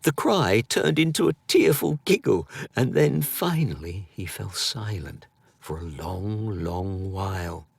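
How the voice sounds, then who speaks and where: Unhurried, expressive British male storyteller. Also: deep voice, vocal fry, slightly rough, no room sound. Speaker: audiobook narrator. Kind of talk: expressive scripted story narration.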